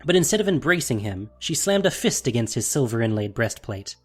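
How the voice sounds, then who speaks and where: Male narrator, medium pitch, dramatic scripted delivery for a fantasy audio story. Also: sing-song, a little fast, husky, soft background music.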